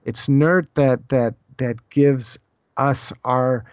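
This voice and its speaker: A man talks in a dry, close, slightly dull lo-fi recording. He explains things as a guest in conversation, thoughtful and halting, often circling back over a phrase before finishing it. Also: medium pitch, fairly even intonation, dry recording. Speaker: podcast guest, author on Celtic spirituality.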